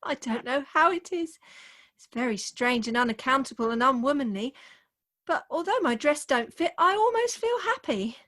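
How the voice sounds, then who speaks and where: High-pitched young bride played by an actress, sentimental and jealous, theatrically earnest Victorian comedy style for radio. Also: female voice, expressive, moderate pace, fairly bright tone. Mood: tender. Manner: mannered, declamatory, earnest. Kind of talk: theatrical comic radio play acting. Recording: remote home video-call recording.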